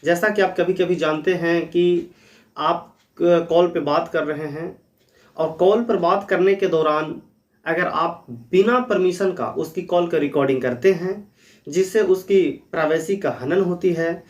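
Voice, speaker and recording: A man's voice: fairly high pitch, measured pace, far from the mic, dull audio. A man hosting an explainer for viewers, talking in an earnest, instructive way and repeating key points. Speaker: host of a legal awareness channel.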